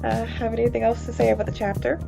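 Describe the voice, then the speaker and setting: A woman co-hosting a fan podcast, chatting casually in a hesitant, halting way. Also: a little high, little pitch movement, gravelly. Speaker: podcast co-host. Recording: slightly dull audio, faint music behind.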